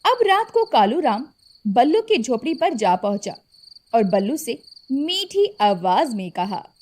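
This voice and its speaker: A woman's voice: on the high side, sing-song, a little slow, darkish tone. A woman narrating a scripted moral story as a storyteller.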